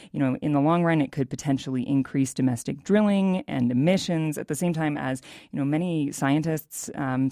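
A woman's voice: rumbling low, a little mellow, dry recording, clean studio sound. A woman with an American accent speaking as a radio reporter, explaining the news off the cuff in an interview.

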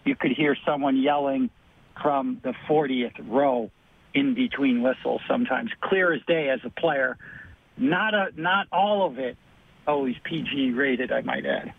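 A former hockey player as guest in a casual interview, speaking in a measured, reflective way. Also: male voice, mid-range voice, creaky, dry recording. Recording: tinny phone line.

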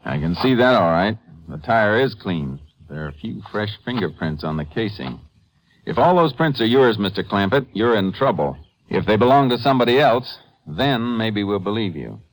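An American man playing a calm, methodical Texas Ranger in a 1950s radio western, laconic, firm and matter-of-fact. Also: fairly low pitch, normal speed, a little hiss or hum, poor audio. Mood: firm, probing. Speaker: lead actor in a radio drama. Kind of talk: 1950s radio western crime acting with first-person narration. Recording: vintage 1950s radio recording.

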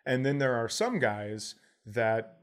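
A man's voice: mid-range voice, expressive, takes it slowly. A man telling stories in a casual comedic way as a podcast host, sarcastic and swearing freely.

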